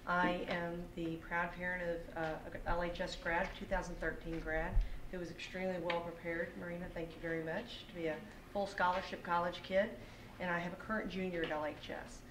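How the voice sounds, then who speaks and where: A woman's voice: fairly low pitch, little pitch movement, brisk. A woman in her forties from Texas with a Texan accent, speaking as a parent at a school board public comment, earnest and pleading with emphatic repetition. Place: school library meeting room. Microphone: podium microphone, picked up from a distance. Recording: echoey room, distant mic, audible background hiss.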